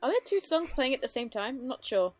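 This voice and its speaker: A woman's voice: high voice, big pitch swings, quick pace. A woman giving casual, off-the-cuff reaction commentary, musing aloud as she watches. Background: faint music from the video.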